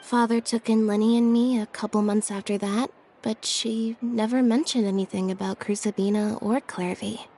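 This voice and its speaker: A woman playing a composed, devoted young woman in a video game, speaking calmly and deliberately. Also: mid-range voice, a little hiss or hum, lightly acted. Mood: calm, serious. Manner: calm, deliberate. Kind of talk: dramatic video game dialogue.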